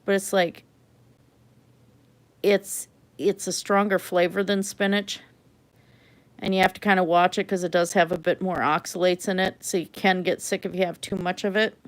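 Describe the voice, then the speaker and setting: A middle-aged woman whose first language was German, hosting a livestream and chatting casually with viewers. She rambles, laughs often and lets her sentences trail off softly. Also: fairly low pitch, flat delivery, moderate pace. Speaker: livestream art host.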